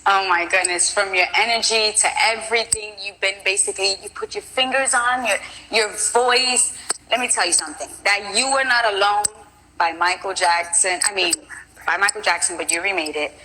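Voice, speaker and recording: A woman from Brooklyn, a school teacher who hosts radio, talking in an excitable, gushing way in a casual live interview. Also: mid-range voice, moderate pace, slight background noise, rough recording. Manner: excitable, gushing, laughs often.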